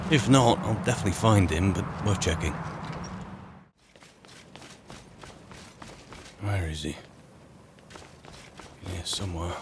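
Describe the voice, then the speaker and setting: A low-pitched voice of a man roleplaying a boastful, drink-loving archmage in a fantasy game. He talks in wry, colloquial asides, half to himself. Game ambience is clearly audible behind him. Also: moderate pace, very noisy, close to an everyday voice. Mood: musing. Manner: sardonic, muttering asides, British colloquialisms. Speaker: roleplaying gamer narrating in character as an adventurer mage. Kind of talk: in-character game roleplay commentary.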